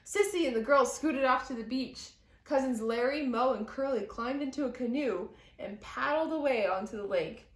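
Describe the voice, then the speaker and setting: A woman reading a picture book aloud to children with deliberate, dramatic, expressive phrasing. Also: high voice. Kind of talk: expressive children's story narration. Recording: roomy echo.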